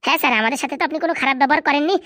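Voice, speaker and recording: A woman voicing a cheeky, scheming young male cartoon sidekick who talks in eager, flattering colloquial Bengali-accented patter. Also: high-pitched, very fast, no echo, clean studio sound. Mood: flattering, confident. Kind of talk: comedy cartoon dubbing.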